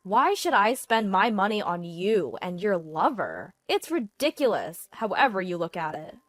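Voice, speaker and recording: A woman's voice: mid-range voice, lively intonation, measured pace, dry recording, clean studio sound, close to an everyday voice. A woman voicing a scornful betrayed wife with a cutting, unyielding manner in a dramatized story. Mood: cold disdain.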